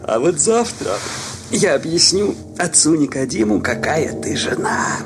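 A man playing a spiteful, jealous village sexton in an audio story, taunting his wife with drawn-out sneers. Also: a little high, animated, over music, voice pushed up. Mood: spiteful threat. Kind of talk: dramatized literary audio reading.

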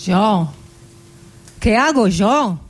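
An actress voicing a passionate, defiant woman poet in a theatrical radio monologue, with slow, dramatic delivery and long pauses. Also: mid-range voice, expressive. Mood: wry, playful. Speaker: actress and singer. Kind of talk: theatrical poetic radio monologue with music, code-switching, partly sung.

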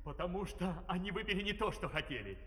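An actor playing a steadfast workers' leader in a fairy-tale opera, earnest and theatrical, on an old record. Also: male voice, high-pitched, expressive, quick pace, slight background noise. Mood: urgent, confiding. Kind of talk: theatrical opera acting with singing. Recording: old record, echoey, distant, loud music.

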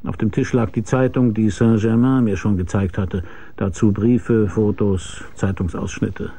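Hardboiled detective, dark husky voice, laconic, dry, radio drama narration. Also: male voice, lowish voice, fairly even intonation, a little slow. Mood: wry. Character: laconic, world-weary private detective telling his own case. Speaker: first-person narrator and lead.

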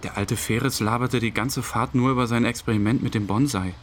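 An actor playing a numb, grieving widower who recalls events tersely in an interview-like radio drama. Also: male voice, mid-range voice, steady tone, fairly quick, slight background noise, natural voice. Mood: numb, bitter.

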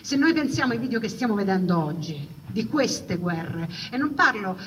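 A woman tells a story as a stage monologue. Her voice sits at a medium pitch, and she speaks conversationally, stacking emphatic phrases with dramatic pauses. She is picked up from a distance, with clear ambient noise around her. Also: big pitch swings, muffled recording. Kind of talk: theatrical narrative monologue to an audience. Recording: distant mic, noisy live ambience.

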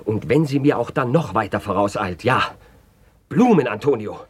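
Excitable, swooping young gentleman. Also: fairly low pitch, moderate pace, breathy edge. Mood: eager haste. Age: young adult. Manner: theatrical, excitable, calling out. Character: impetuous, lovestruck young gentleman in a hurry. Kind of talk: German radio play acting.

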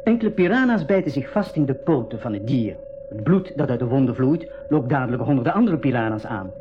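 A man's voice: medium pitch, very animated, fairly quick, over music, lightly acted. An actor playing a courteous biologist and jungle explorer in his thirties in a school radio drama, explaining things politely. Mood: grave, vivid. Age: 30s. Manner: polite, explanatory, slightly hesitant. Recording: dull recording with clear background noise.

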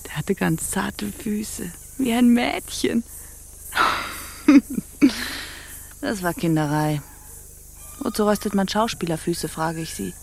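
An actress playing an imperious, mocking pirate captain in a theatrical radio play, brooding and scornful. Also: female voice, mid-range voice, unhurried, vocal fry, a little mellow. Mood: mockingly menacing. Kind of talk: stage-like radio play acting.

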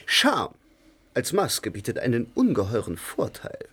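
An actor playing a theatrical stage actor who philosophizes in a declamatory manner. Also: male voice, mid-range voice, wildly swooping pitch, a little slow, fairly bright tone, breathy edge, exaggerated voice. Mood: lofty.

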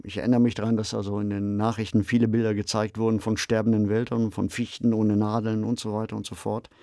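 A middle-aged man co-hosting a podcast discussion in a measured, reflective, explanatory manner. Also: fairly low pitch, level tone, warm tone, no room sound, clean studio sound. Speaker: podcast co-host and author.

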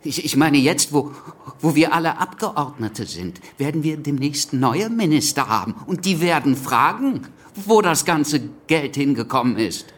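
An actor playing an anxious, pedantic official with a stammer in a radio drama, hesitant and fussy. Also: male voice, on the high side, very animated, measured pace, hardly any pauses. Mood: fussy, worried.

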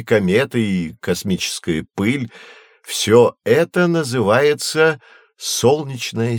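An actor playing a learned, patient astronomy professor who explains things in a measured, didactic way in an educational audio drama. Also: male voice, medium pitch, no echo. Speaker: teacher.

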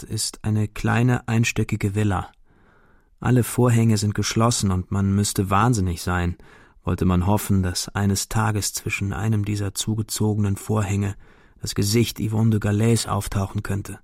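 A man's voice: on the low side, gravelly, a little mellow, no room sound, studio quality. A man playing a lovelorn, melancholy young man, reading his letters aloud with brooding intimacy in a radio drama.

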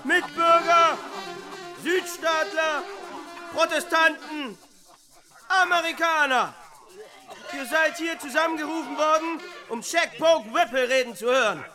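An actor playing a fiery, bigoted Southern barber declaiming to a town rally in a radio drama. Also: male voice, very high-pitched, measured pace, long pauses, over music. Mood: rousing, hateful. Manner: declamatory, rabble-rousing.

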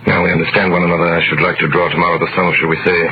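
An actor playing a wealthy, imperious count, suave and condescending, in the style of 1930s radio drama. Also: male voice, sits low, level tone, rapid-fire, a little hiss or hum. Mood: smooth, assured. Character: wealthy, imperious male count, coolly mocking. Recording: muffled vintage radio recording.

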